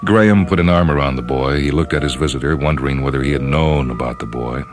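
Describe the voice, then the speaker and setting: A man hosting and reading a story as scripted dramatic narration, in a deliberate, measured manner. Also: extremely low pitch. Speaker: host and story reader. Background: music under the voice.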